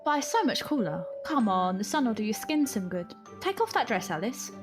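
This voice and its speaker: Woman playing a cheeky loud-mouthed victorian match girl, highish voice, music playing behind. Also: sing-song, moderate pace. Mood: brash, coaxing. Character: brash, bold, teasing young Victorian match girl. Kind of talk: audio drama acting.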